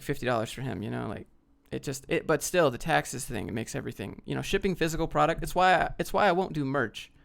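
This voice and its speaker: A man from the United States with a gravelly, fry-laden voice at an average male pitch. He talks informally and bluntly, like a streamer fielding questions from viewers, reading each one out and replying off the cuff. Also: fairly bright tone. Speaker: livestream host answering viewer questions. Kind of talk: casual off-the-cuff Q&A chat, reading questions aloud.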